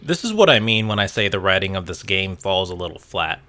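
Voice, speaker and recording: A man's voice: low voice, sing-song, a little fast, sharp tone. A man narrating a casual video game essay with wry, sarcastic commentary and casual swearing. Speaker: host of a video game review channel.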